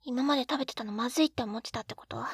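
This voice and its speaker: A woman's voice: fairly high pitch, very fast, gravelly, slightly rough, no echo, clean studio sound. A woman playing an eager, clumsy, sweetly pouty young wife in an intimate binaural roleplay, with a cutesy, flustered delivery. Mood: sheepish, earnest. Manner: cutesy, flustered, pouting, hesitant little gasps. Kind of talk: Japanese situation-voice roleplay, intimate ASMR audio drama. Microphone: close binaural 3Dio microphone.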